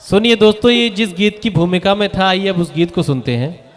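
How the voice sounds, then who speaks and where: A man's voice: high-pitched, a little fast. Man hosting a poetry evening. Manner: showers praise and calls on poets, formal Urdu phrasing. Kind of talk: live event hosting.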